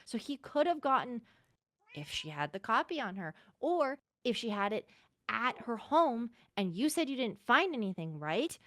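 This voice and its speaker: A woman playing an earnest, suspicious courtier in an improvised Minecraft roleplay, insistent as she thinks out loud. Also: on the high side, big pitch swings, moderate pace.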